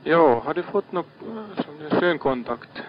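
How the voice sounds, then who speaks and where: A man with a fairly high voice speaks as a ship's radio operator. He handles distress traffic procedurally, repeating details back, over a dull, lo-fi marine radio channel. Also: expressive.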